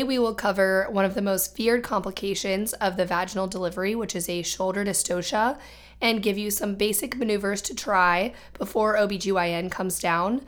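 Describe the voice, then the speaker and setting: A young woman with a hoarse voice from a cold, hosting and explaining a medical teaching podcast. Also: mid-range voice. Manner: clear explanatory delivery. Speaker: podcast host.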